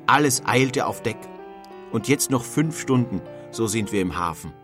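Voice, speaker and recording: A man's voice: medium pitch, lively intonation, normal speed, lightly acted. An actor playing a nineteenth-century male emigrant, reading his letter home in a wondering, narrative way. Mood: recounting. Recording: music faintly underneath.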